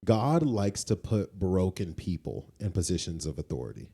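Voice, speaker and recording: A man's voice: sits low, very animated, normal speed, mellow, husky. A man co-hosting a podcast, talking conversationally in an opinionated, explanatory way and often checking in with the listener. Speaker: podcast co-host.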